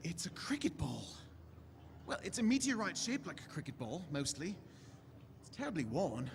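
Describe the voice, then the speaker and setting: A man playing an eccentric, inquisitive time-traveling doctor in a staged audio drama, chatty and brisk with theatrical swings. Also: on the high side, big pitch swings, vocal fry. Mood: brisk, curious. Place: large convention hall stage. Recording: echoey hall stage recording with noise.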